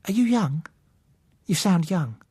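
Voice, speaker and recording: Audiobook role: male narrator as a timid eighteen-year-old girl, high-pitched, hesitant and pleading, close dry recording. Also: lively intonation, takes it slowly, warm tone, slightly rough, no room sound, full character voice. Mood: wistful, hopeful. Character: timid, trembling blind eighteen-year-old young woman. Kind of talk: audiobook character dialogue.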